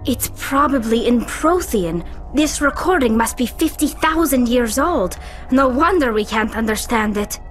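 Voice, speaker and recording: An earnest alien scientist. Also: female voice, fairly high pitch, expressive, unhurried. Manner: thoughtful, explanatory. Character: young alien female scientist, earnest and analytical. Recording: game audio with strong music.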